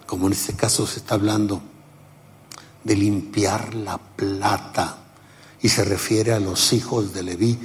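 A man in his seventies preaching a sermon, unhurried and emphatic, sometimes laughing at himself. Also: fairly low pitch, big-room sound. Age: 70s.

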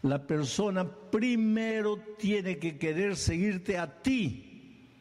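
A man preaching a sermon, animated, acting out little scenes and firing off questions. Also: high voice, slow.

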